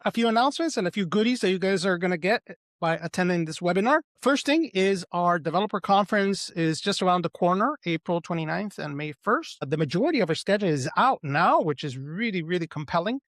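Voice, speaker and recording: A man's voice: fairly high pitch, fairly bright tone, no echo, studio quality. A middle-aged man from El Salvador hosting a technical webinar, explaining concepts with enthusiasm and frequent check-ins like "right?" and "okay?". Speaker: webinar host and presenter.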